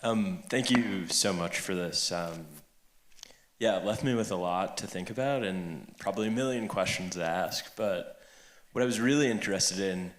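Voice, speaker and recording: A man's voice: deep voice, a bit bright. A man asking a polite, unscripted question as an audience member, in a roomy, echoing recording.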